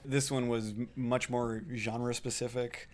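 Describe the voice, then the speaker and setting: A man's voice: medium pitch, little pitch movement, a little slow. A man speaking as a composer, giving casual, modest and thoughtful answers as an interview guest.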